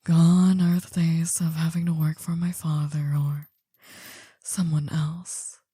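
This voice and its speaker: A woman playing an older female mafia boss in an intimate ASMR roleplay, possessive, doting and soothing, in a breathy half-whisper. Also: deep voice, level tone, warm tone, no echo, heavily acted. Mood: weary, confiding. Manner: slow, soothing, coaxing.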